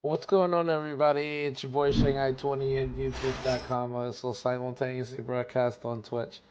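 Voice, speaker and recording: A man's voice: medium pitch, little pitch movement, a little fast, a little mellow, dull audio, slight background noise. A man streaming games who is losing his voice, chatting casually with viewers and rambling with many fillers. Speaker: gaming streamer.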